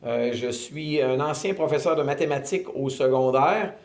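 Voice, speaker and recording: A man's voice: medium pitch, moderate pace, darkish tone. Earnest Québécois man, roomy echo. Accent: Québécois French. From Quebec, Canada. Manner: earnest, hesitant with frequent filler sounds. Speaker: ministry director giving testimony. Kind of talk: personal faith testimony, interviewed.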